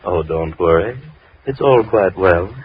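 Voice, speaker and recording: A man's voice: low-pitched, animated, a little slow. An actor playing a deranged, sinister killer who taunts his captives with mock tenderness in 1930s radio horror style. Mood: mock tender. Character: deranged, sinister killer gloating over captive young women. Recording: muffled, noisy 1930s radio recording.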